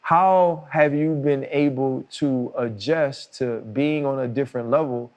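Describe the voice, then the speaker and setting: A man's voice: medium pitch, unhurried, highs cut off. A man hosting a podcast, speaking earnestly in praise of a former coach before asking him a question. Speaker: podcast host, former player. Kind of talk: casual podcast conversation.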